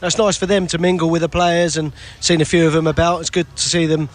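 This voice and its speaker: A male sports radio reporter interviews a player beside a hotel pool outdoors. His voice sits a little high, and he rambles into each question chattily. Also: little pitch movement, quick pace. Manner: chatty, long lead-ins to questions.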